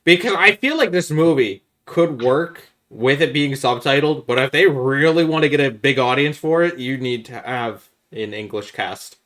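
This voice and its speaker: A man's voice: mid-range voice, animated, normal speed, hardly any pauses, fairly bright tone. A man with a mid-range voice talks as a podcast co-host. His delivery is casual and conversational, with an emphatic way of laying out his reasoning.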